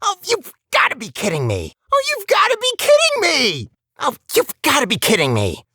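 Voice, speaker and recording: Breezy murderous AI villain, wildly swooping voice. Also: female voice, sits high, wildly swooping pitch, normal speed, pushed and bright, no echo, studio quality, exaggerated voice. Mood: exasperated. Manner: chatty, mock-polite, theatrical. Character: female scheming AI villain, breezily cheerful, sarcastic and murderous. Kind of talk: video game character dialogue.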